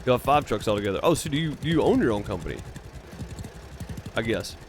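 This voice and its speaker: A man's voice: mid-range voice, very animated, quick pace, slight background noise. A man from Mississippi with a Mississippi accent, chatting casually and teasingly with live viewers as a streamer, laughing often. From Mississippi, United States.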